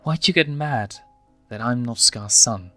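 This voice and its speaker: A man's voice: medium pitch, a little slow, pushed and bright, faint music behind, voice pushed up. A man voicing a frank, reluctant young lion cub, a younger brother with a plaintive, questioning manner, in a story reading.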